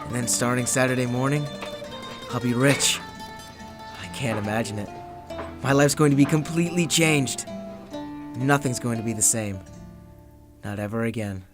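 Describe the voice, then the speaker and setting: An actor playing a nervous, hapless failed comedian in a radio drama, hesitant and stammering. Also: male voice, medium pitch, normal speed, pauses often, lightly acted. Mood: resigned hope. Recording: prominent music bed behind voice.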